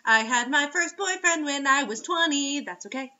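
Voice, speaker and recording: A woman's voice: high-pitched, animated, moderate pace, bright, forceful tone. A young adult woman giving casual comedic commentary in a sarcastic, playful way. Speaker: co-host commenting on the reading. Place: small room indoors. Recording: dull lo-fi webcam-style sound.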